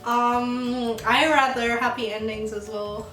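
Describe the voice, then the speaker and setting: A young woman from Jamaica co-hosting a casual chat, slow and laughing often. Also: on the high side, fairly even intonation, fairly bright tone. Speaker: channel co-host. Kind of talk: casual get-to-know-me chat. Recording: roomy sound, mic at a distance, music behind.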